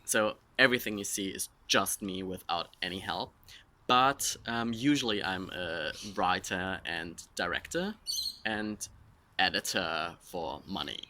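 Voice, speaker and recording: A young man in his twenties with a German accent chats candidly through a follower Q&A, joking at his own expense. His voice sits fairly low yet sounds bright and forceful. A faint hiss runs under the recording. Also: a little slow. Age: 20s. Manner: frank, self-mocking, rambling with fillers. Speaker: vlogger answering follower questions. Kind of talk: casual Q&A monologue.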